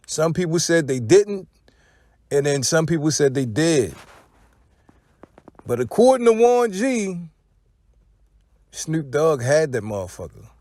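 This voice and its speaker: A man's voice: a little high, darkish tone, no room sound, studio quality. An American man hosting casual gossip commentary, speaking in profane slang and posing rhetorical questions. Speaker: commentary channel host.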